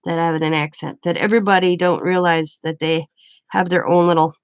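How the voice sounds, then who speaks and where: A woman's voice: fairly low pitch, level tone, brisk, clear, resonant tone, no room sound. A woman from the Midwest, chatting casually and rambling while she crafts, laughing often. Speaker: craft vlogger.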